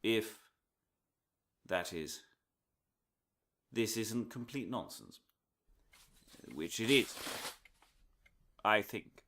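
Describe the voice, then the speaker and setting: Pompous low-voiced professor, swooping delivery. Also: male voice, fairly bright tone, dry recording, clean studio sound, full character voice. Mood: muddled, exasperated. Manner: pompous, theatrical, digressive, emphatic. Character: earnest, pompous, muddled male academic with conspiracy obsession. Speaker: lecturer. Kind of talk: comic monologue delivered as a scholarly lecture.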